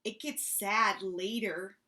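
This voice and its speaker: A woman voicing a small, cheerful, bustling male mouse in a storybook, who sounds bright and eager to help. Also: mid-range voice, steady tone, slow. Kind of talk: storybook read-aloud.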